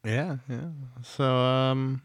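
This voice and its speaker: A man's voice: medium pitch, level tone, drawn-out words, clean tone, dry recording. A man hosting a podcast in an easygoing, chatty way, chuckling along. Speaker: podcast host. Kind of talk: casual podcast chat.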